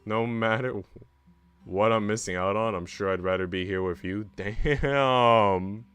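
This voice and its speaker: Young guy streamer reading a visual novel, lowish voice, casual slangy asides, soft music behind. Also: a little slow, clean tone. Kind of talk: narration with casual slangy reactions.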